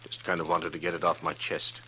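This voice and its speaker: A guilt-ridden frontier drifter with a deep, sharp-edged voice. He talks plainly and haltingly, like lonely folk do, on an old radio drama recording with some faint noise. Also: flat delivery. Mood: wistful, hopeful. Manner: halting, folksy, plain-spoken. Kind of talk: 1950s radio drama acting. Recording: noisy 1950s radio recording.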